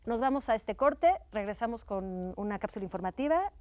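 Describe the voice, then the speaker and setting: A woman's voice: medium pitch, animated, a little fast, a little mellow, dry recording. A woman hosting a panel talk show, introducing guests in an upbeat way. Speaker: host.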